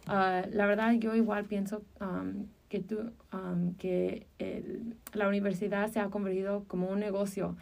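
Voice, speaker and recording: A woman's voice: mid-range voice, flat delivery, unhurried, vocal fry, hardly any pauses, a little mellow. A woman, co-hosting a casual podcast and talking in hesitant phrases full of fillers. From Chicago, United States. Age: early 20s. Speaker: podcast co-host. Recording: distant from the microphone.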